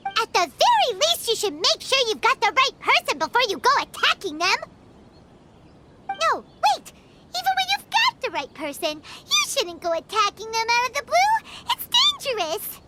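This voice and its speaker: Tiny game fairy sidekick: extremely high, squeaky, wildly animated, chatty and easily indignant. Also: female voice, normal speed, very noisy, heavily acted. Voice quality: squeaky, childlike. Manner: exclamatory, speaks of herself in third person. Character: tiny childlike floating fairy companion, excitable, indignant, chatty. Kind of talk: animated video game voice acting.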